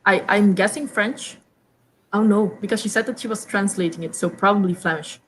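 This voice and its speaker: A young woman from Belgium whose first language is Dutch, hosting a casual reading chat over a video call, chatty and quick to stumble over her first words. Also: mid-range voice, slight background noise, low-quality recording. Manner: chatty, repeats words when starting sentences, laughs often. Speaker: host of reading sprints. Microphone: video call mic.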